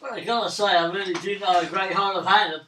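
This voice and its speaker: A man's voice: high-pitched, steady tone, fast talker, bright, forceful tone, slight background noise. A man playing a tabletop role-playing game, making cheeky, crude wisecracks. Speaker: player. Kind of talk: casual play at a game table. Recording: roomy table recording from a distance.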